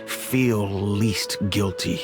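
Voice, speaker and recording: An actor playing a wealthy middle-aged father in a video game, speaking formally and sincerely with quiet regret. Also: fairly low pitch, extremely slow, music in the background. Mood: earnest, regretful. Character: wealthy older father, polite, earnest, regretful benefactor. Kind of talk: video game dialogue acting.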